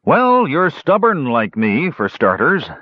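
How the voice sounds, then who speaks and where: Guy doing a gruff old dwarf uncle from a fantasy book, stubborn n teasing, pretty lively, bit muffled recording. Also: a little high, slow pace, no room sound, voice pushed up, heavily acted. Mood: amused, fond. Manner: old-fashioned folksy speech, scolding and teasing.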